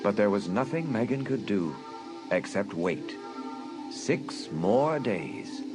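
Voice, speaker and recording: A man narrating a suspense audiobook in a dramatic, deliberate storytelling manner. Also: lowish voice, big pitch swings, music in the background, low-quality recording.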